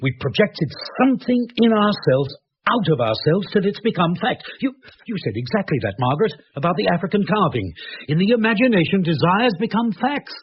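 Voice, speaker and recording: Intense, insistent man unravelling, highish voice. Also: sing-song, brisk. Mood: feverish insight. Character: Ed, earnest agitated husband growing paranoid and insistent. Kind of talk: dramatic radio horror play acting. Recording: dull, noisy old radio drama recording.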